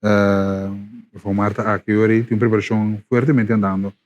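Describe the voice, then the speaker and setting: A man's voice: on the low side, dull audio. Chatty Caribbean morning radio host, man. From Curaçao. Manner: lively, repetitive, halting with fillers. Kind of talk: casual live radio chat.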